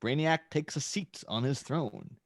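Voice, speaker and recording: A man's voice: medium pitch, unhurried, dry recording, clean studio sound. A man reading stage directions as the narrator of a dramatic superhero script table read.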